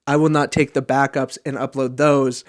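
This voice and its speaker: A man's voice: mid-range voice, little pitch movement, moderate pace. A man co-hosting a podcast, chatting informally and making his points emphatically. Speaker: podcast host. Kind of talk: casual podcast chat.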